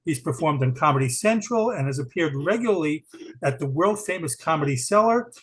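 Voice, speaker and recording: A man's voice: fairly high pitch, animated, a little fast, a bit bright, slightly rough. A man hosting a podcast interview, eager and laughing often. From Brooklyn, New York. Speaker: podcast host.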